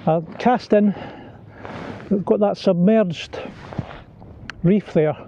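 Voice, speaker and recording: A man in his thirties talking to the camera while fishing outdoors, chatty and excited, calling viewers "guys". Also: sits high, drawn-out words. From United Kingdom. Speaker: fishing vlogger. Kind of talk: casual on-camera fishing commentary. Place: outdoors, wading in a sea loch under cloudy skies. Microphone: camera on a pole nearby. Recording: dull lo-fi outdoor audio with faint wind and water.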